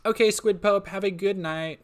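A man's voice: sits high, fairly even intonation, moderate pace. A young man chatting casually on a stream, answering chat, rambling with frequent ums and restarts, a little sniffly and sleepy. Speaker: streamer and indie game developer.

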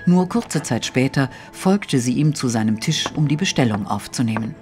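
A man narrating a literary audio drama in a measured storytelling style. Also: mid-range voice, animated. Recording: music under the voice. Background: music.

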